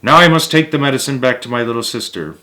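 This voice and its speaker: A man's voice: mid-range voice, quick pace, sharp tone, close to an everyday voice. A man reading an earnest young boy. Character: determined young boy on a quest.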